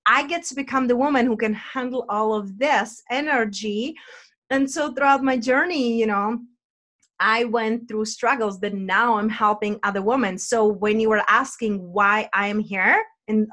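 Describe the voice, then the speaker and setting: Woman with a Czech accent, podcast guest and coach, slightly high voice, animated and chatty. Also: normal speed. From Czech Republic. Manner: animated, chatty, frequent "like" fillers. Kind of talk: casual interview talk.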